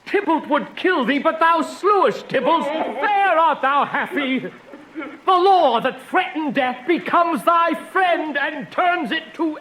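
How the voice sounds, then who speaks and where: Man acting a stern friar, high, swooping voice. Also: pushed and bright, a little hiss or hum, exaggerated voice, poor audio. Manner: exhorting, theatrical, emphatic. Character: stern, counselling friar, wise holy man. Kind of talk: Shakespearean radio drama acting.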